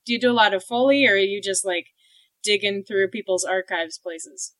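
A woman's voice: mid-range voice, fairly quick, fairly bright tone, no room sound. A woman hosting a podcast interview, asking questions in a casual, conversational way.

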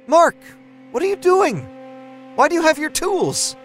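A man's voice: extremely high pitch, very animated, measured pace, soft background music, pitched above the performer's own voice, full character voice. A man voicing a terrified wife, frantic and pleading in agony, in a horror audio story. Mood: alarmed. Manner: frantic, screaming.

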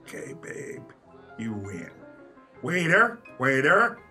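A man's voice: medium pitch, huge pitch swings, slow pace, bright, forceful tone, soft background music, heavily acted. A man playing a flashy, cocky gambler in a 1930s pulp radio play, swaggering through slangy talk. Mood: cocky, indulgent. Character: Maxie, flashy cocky big-spending gambler sweet on women.

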